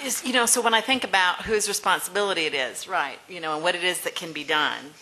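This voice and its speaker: A woman moderating a discussion panel, thinking aloud in a quick, thoughtful way. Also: fairly low pitch. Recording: loud crowd and noise behind.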